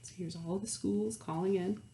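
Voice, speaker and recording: A woman reading a picture book aloud to young children, expressively, pausing for chatty explanations and questions. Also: medium pitch, fairly even intonation, gravelly, dark tone, roomy sound. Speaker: children's storytime reader.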